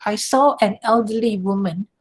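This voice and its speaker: A woman's voice: mid-range voice, moderate pace. An older woman from Malaysia giving an online lecture, answering questions with examples. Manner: explanatory, uses examples and gestures. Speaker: guest lecturer. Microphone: video call.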